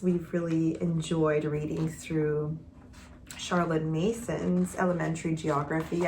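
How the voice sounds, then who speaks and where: A woman in her thirties from Quebec, a homeschooling mother giving a casual, gentle update that sometimes rambles while she searches for words. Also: on the low side, vocal fry, a little hiss or hum. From Quebec, Canada.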